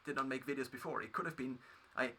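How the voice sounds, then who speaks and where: A man with a German accent, a native German speaker, talking through his past as a video creator in a halting solo monologue full of restarts. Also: mid-range voice, steady tone, pushed and bright, a little hiss or hum. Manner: halting, many restarts and fillers.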